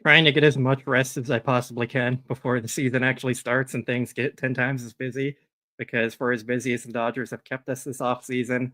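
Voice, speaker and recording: A man co-hosting a casual sports chat, giving news in an even, matter-of-fact way. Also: mid-range voice. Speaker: co-host, managing editor.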